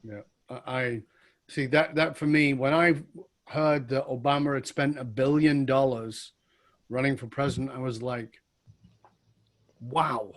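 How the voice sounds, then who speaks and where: A man's voice: medium pitch, steady tone, a little slow, pauses often. A man from the UK hosting an interview podcast, talking with enthusiasm as he wraps up with his guest. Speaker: podcast host.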